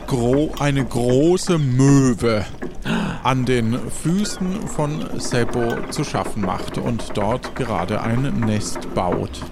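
A man improvising the narration of an adventure game as game master, halting as he searches for words. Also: medium pitch, background noise.

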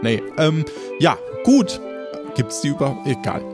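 A man's voice: on the high side, sing-song, unhurried, over music. A man hosting and narrating an improvised audio drama podcast in a casual way.

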